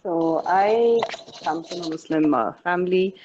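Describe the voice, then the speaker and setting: A woman from Dubai speaking as a guest on a mothers' panel, halting, with many fillers and restarts. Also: lowish voice, darkish tone, lo-fi sound, a little hiss or hum. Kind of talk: casual panel talk.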